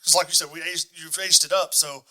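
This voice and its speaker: A man with a somewhat high voice and a sharp, very bright edge. He hosts in a casual, chatty style, haltingly reworking his questions as he speaks. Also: fairly even intonation, low-quality recording. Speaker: podcast host, asking questions. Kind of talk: casual interview chat.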